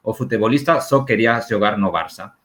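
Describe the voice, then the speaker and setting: A man's voice: fairly low pitch, a little fast, a bit bright. A man from Barcelona, a native Catalan speaker, joining a panel discussion remotely as a guest journalist. He speaks Portuguese with a Catalan accent, slips Spanish words in and restarts his phrases often. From Barcelona, Spain. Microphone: remote video call.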